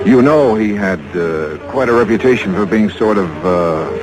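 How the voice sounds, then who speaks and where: A man's voice: on the low side, normal speed, natural voice, low-quality recording. A man playing a shrewd American insurance investigator in a vintage radio detective drama, clipped, wry and probing. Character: shrewd, hard-boiled male insurance investigator. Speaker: radio drama lead and narrator. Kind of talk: mid-century American radio detective acting. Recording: vintage radio recording with music underneath.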